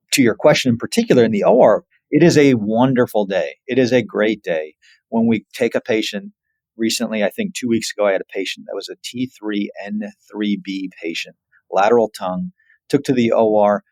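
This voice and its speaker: A man's voice: on the low side, a little mellow, no echo, poor audio. A man, a surgeon, explaining as an interview guest in an emphatic way with examples.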